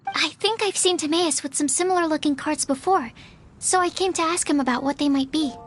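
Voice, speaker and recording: A woman's voice: high voice, fairly quick, a little hiss or hum, natural voice. A woman playing a shy, earnest young alchemist's assistant in a video game, timid and hesitant. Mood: earnest, matter-of-fact. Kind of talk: video game character acting. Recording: music playing behind the voice.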